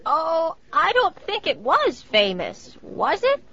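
An actress playing a chatty elderly widow in a nursing home who keeps asking if you knew. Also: female voice, extremely high pitch, wildly swooping pitch, slow pace, pushed and bright, full character voice. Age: older. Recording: dull lo-fi recording.

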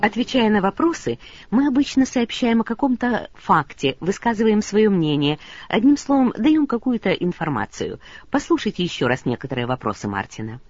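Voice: medium pitch, sing-song, fairly quick, darkish tone. A language course narrator reading scripted instructions. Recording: dull lo-fi recording with music.

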